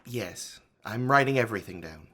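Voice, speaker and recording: A formal investigator in a radio play. His bright voice moves with lively intonation as he probes in a businesslike way. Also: fairly low pitch, moderate pace. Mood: probing. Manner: formal, probing. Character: businesslike male investigator taking a witness statement. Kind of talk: theatrical radio drama acting.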